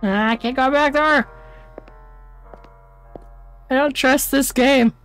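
Woman game streamer, fairly high voice. Casual, wary horror-game commentary, muttered to herself in short bursts. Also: takes it slowly, clear, resonant tone, faint music behind.

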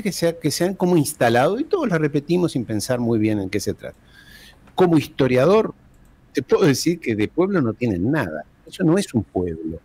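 A man talks as a guest historian over a video call. His pitch rises and falls a great deal, and he hammers his points home with rhetorical questions and repeated phrases. The sound is dry and close, from a large desk microphone in a small room at home. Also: darkish tone, no echo.

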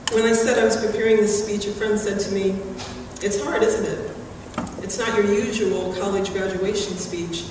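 A middle-aged woman delivering a prepared graduation speech in a measured, earnest way at a podium microphone in a large hall. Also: mid-range voice, darkish tone. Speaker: graduating student class speaker. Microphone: podium microphone, recorded from the audience. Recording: very echoey hall, distant, background noise.

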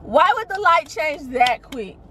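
A woman's voice: high-pitched, sing-song, measured pace. A young woman chatting casually to her viewers while driving, rambling and laughing as she complains. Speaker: vlogger. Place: inside a parked and moving car. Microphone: phone camera on the dashboard. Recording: loud car and street noise.

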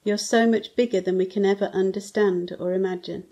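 A woman in her fifties saying a measured prayer for a church service, recorded indoors at home. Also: medium pitch, fairly even intonation, warm tone. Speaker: church member leading prayer.

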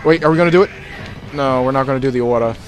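A man's voice: medium pitch, rapid-fire, rough recording. A man giving joking, crude gameplay commentary as the host of a let's play. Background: video game music.

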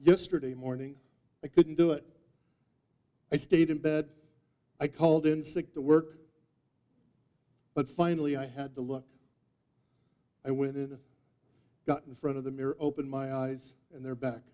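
A man in his fifties giving a humorous storytelling speech, with theatrical deadpan delivery and dramatic pauses. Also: medium pitch. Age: 50s. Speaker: speech contest speaker. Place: meeting room before a live audience, indoors. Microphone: distant audience camera microphone.

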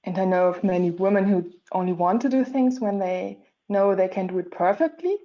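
A woman's voice: mid-range voice, brisk. A woman giving encouraging advice in a video-call discussion, ending points with "right?". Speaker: participant in online session. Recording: dull video-call audio.

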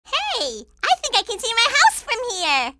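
A woman's voice: very high voice, huge pitch swings, unhurried, sharp tone, no room sound, clean studio sound, heavily acted. A woman voicing a giddy, silly little girl in a cartoon video game.

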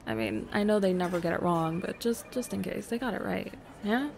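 A woman's voice: medium pitch, fairly quick, vocal fry, darkish tone. A woman playing a playful, affectionate secret girlfriend in an intimate roleplay audio, hesitant and trailing off as she teases. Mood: tender. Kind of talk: intimate roleplay audio, casual improvised-sounding chat. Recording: noticeable cafe ambience noise behind.

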